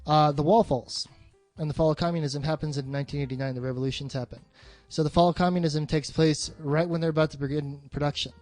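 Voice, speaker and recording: A man's voice: fairly high pitch, steady tone, fast talker, darkish tone, no echo, soft background music. A man in a casual film discussion as a co-host, blunt and swearing often.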